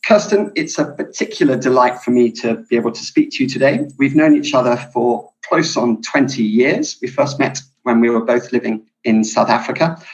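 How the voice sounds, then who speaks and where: A man with a mid-range voice hosts a podcast. He introduces his guest at a measured pace and reads out lists one item at a time, in the manner of prepared remarks. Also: medium pitch, lively intonation, rough recording. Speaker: podcast host.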